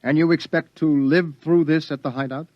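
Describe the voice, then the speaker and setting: A man's voice: a little high, fairly even intonation, moderate pace, no room sound, lightly acted. An actor playing a young male reporter in a 1950s radio drama, asking skeptical questions. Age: young adult. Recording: dull old radio recording.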